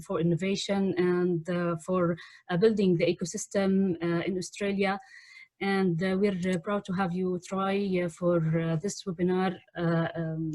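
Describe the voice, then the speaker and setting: A dry recording with little room sound carries a woman hosting an online webinar. Her voice is a little on the low side, and she speaks without a script, haltingly, often searching for the next word. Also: darkish tone, no room sound. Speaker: webinar host. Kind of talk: unscripted webinar introduction. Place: online video call.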